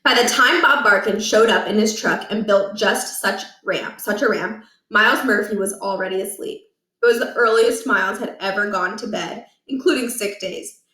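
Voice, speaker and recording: A woman's voice: medium pitch, moderate pace, sharp tone, recorded from a distance. A woman reading aloud as a storyteller, narrating a children's book from the text. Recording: echoey, roomy home recording.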